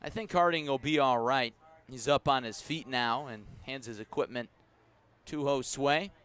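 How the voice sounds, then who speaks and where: An American man doing live radio play-by-play of a baseball game, measured in his narration and raising his voice for big plays. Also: medium pitch, fairly bright tone, smooth. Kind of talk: live sports commentary.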